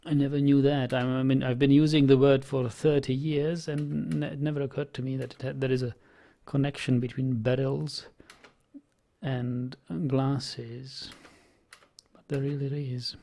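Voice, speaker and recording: A man talks slowly through his game, pausing and restarting his sentences. His voice has a gravelly, creaky edge and sits at an ordinary pitch. He muses aloud and is in no hurry. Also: little pitch movement, warm tone. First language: Czech. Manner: hesitant, musing, many ums and restarts. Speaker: let's play host. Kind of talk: gameplay commentary.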